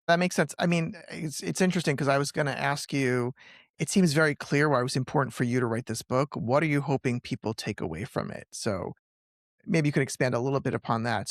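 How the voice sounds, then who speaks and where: A man's voice: mid-range voice, no room sound, studio quality. A male podcast host who asks long, rambling interview questions and often restarts them.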